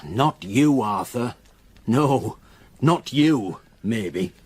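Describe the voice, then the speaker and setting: A solemn villager in a gothic radio play, his voice husky and breathy. He speaks haltingly and ominously, as someone reluctant to give up an old secret. The pitch sits in a middle male register. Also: animated, no room sound, exaggerated voice. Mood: guarded, grave. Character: grave, reluctant local countryman haunted by dark secrets. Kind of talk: radio drama acting, storytelling.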